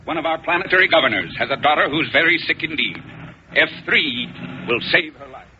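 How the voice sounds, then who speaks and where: A man playing a serious, authoritative intelligence colonel in a 1950s space radio serial, speaking with measured emphasis. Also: fairly high pitch, lively intonation, bright, forceful tone. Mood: grave, explanatory. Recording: muffled, noisy 1950s radio recording.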